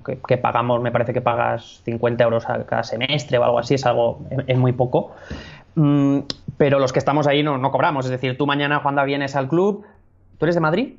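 A man with a Spanish accent from Spain chats as a public speaking coach. He talks thoughtfully and restarts often. The recording sounds dull and lo-fi. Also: mid-range voice. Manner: thoughtful, many restarts, laughs easily. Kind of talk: casual interview chat.

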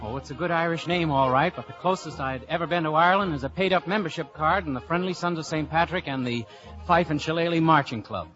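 A man acts a genial Irish-American insurance investigator in an old radio play. His voice sits a little high and he tosses off lines with flippant disbelief. The broadcast sound is dull and vintage. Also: quick pace, a bit bright, faint music behind, close to an everyday voice. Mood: wry, narrating. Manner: flippant, incredulous. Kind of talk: 1950s radio acting, first-person narration and dialogue.

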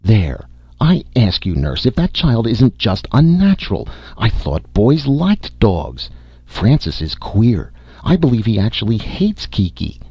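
A man voicing a haughty, irritable young mother with a brisk, sharp manner in an audiobook. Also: medium pitch, sing-song, vocal fry, breathy edge, no room sound, pitched above the performer's own voice, heavily acted. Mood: sharp indignation. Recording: muffled audio.

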